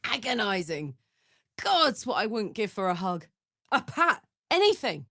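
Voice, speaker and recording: A woman's voice: mid-range voice, sing-song, measured pace, sharp tone, no echo, studio quality, exaggerated voice. A woman voicing a brash, big-hearted fiery tiefling warrior in a video game, blunt, slangy and swaggering. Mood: pained, yearning. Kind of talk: video game character voice lines.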